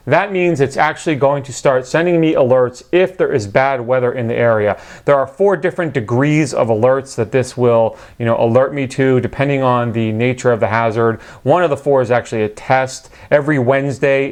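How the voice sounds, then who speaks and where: A man narrating a product review in a casual, unscripted way, explaining things in a conversational, matter-of-fact manner. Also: medium pitch.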